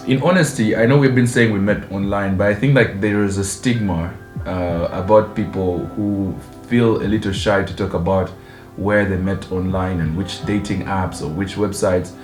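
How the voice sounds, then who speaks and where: A young man from Uganda shares a story on his couple's channel, talking casually with a somewhat low voice and easy laughs. The room sounds echoey, and soft guitar music plays underneath. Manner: relaxed, chatty, laughs often.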